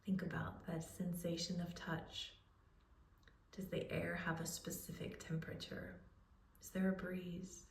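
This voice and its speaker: A woman leading a guided relaxation, speaking in a soothing, unhurried way with pauses as she gives gentle instructions. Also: on the low side, little pitch movement, creaky, whispered. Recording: roomy echo, distant microphone.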